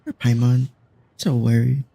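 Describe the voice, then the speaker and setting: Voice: mid-range voice, level tone, a little slow, vocal fry. A livestreamer chatting casually over a game in a laid-back way, giving brief reactions and talking to viewers. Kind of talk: casual gaming stream chat.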